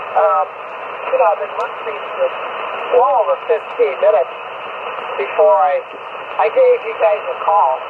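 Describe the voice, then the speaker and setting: A man's voice: very high voice, huge pitch swings, measured pace, creaky, long pauses, narrow, tinny audio. An American man chatting casually and at length as an amateur radio operator over shortwave, often hesitating and repeating himself. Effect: heard over shortwave ham radio. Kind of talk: casual ham radio conversation. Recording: thin, very noisy shortwave radio signal. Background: loud radio static.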